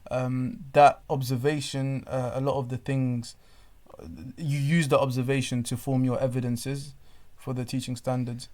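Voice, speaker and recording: A man's voice: mid-range voice, level tone, normal speed, a little mellow. A man co-hosting a casual chat, giving earnest advice to trainee teachers and often repeating words as he thinks. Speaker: podcast co-host.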